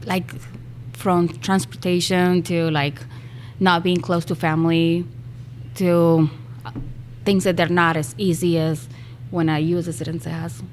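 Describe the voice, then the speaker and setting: Soft-spoken Venezuelan-born woman, hesitant. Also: lowish voice, flat delivery, a little slow, a little hiss or hum. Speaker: restaurant owner. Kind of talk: interview answers. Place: restaurant.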